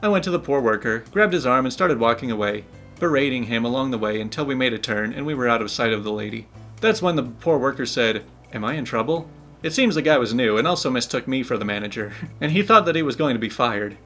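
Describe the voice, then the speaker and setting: A young man, a channel host, reading online stories aloud in a playful, animated way with his own commentary. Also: mid-range voice, fast talker, soft background music. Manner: animated, playful, laughs while telling. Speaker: channel host, story reader.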